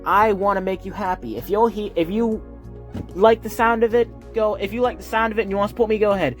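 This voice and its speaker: A man's voice: high-pitched, steady tone, quick pace, faint music behind. A man with a Southern US accent, a heavy lisp and a speech impediment, chatting casually and earnestly to his viewers, recorded on a phone. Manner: rambling, earnest, with fillers. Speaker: YouTuber.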